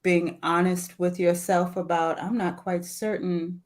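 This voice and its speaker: A woman in casual, reflective conversation, speaking thoughtfully in halting phrases. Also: lowish voice, flat delivery. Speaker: poet, guest speaker.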